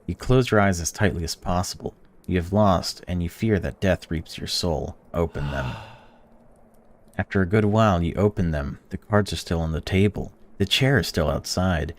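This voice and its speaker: A man with a very low voice reads a visual novel's narration aloud in an even way. He breaks off now and then to blurt out excited or indignant reactions as he plays. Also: moderate pace. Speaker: gaming channel host. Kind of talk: reading a visual novel aloud while playing.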